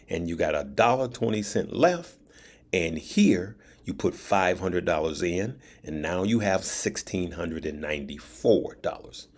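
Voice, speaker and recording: A man with a deep voice hosting a stock trading channel, giving casual advice and driving his points home by repeating them and checking in with "right?". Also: no room sound. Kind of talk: casual finance advice to viewers.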